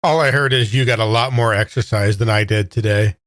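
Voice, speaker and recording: A man's voice: fairly low pitch, brisk, a bit bright, no echo, studio quality. A man chatting casually as a cast member on a tabletop game podcast. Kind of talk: casual chat.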